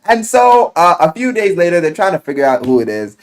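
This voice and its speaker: A man telling a story casually in slang and swearing, as an animated video game reviewer who acts out what people say. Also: high-pitched, brisk. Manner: animated storyteller, acting out quoted lines.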